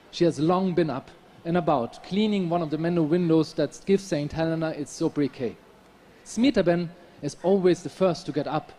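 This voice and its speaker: A man with a German accent reads his prose aloud at a literary festival, his voice dark and mellow and pitched a little higher than most men's. Between passages he talks in a halting, self-deprecating way and restarts his sentences often. A faint hum sits beneath him. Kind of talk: reading from his novel, then casual stage talk. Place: festival stage, audience present.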